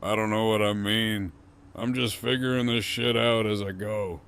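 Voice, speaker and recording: A man acting a gruff, sardonic, wounded captive in an audio drama, with a gravelly voice and a wry drawl. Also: lowish voice, fairly even intonation, a bit bright. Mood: weary, confessional. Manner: slow, wry, teasing drawl.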